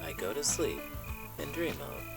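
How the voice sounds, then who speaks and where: Lively low-pitched man reading to children. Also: normal speed, vocal fry, loud music behind the voice. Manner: animated, dramatic emphasis. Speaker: children's book reader, elementary school teacher. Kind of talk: expressive storybook read-aloud with puppet banter.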